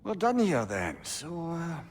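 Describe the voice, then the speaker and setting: A man's voice: a little high, huge pitch swings, slow pace, slight background noise, heavily acted. An actor playing an easygoing, brotherly male companion in a video game, speaking in a casual, offhand way. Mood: relaxed, curious.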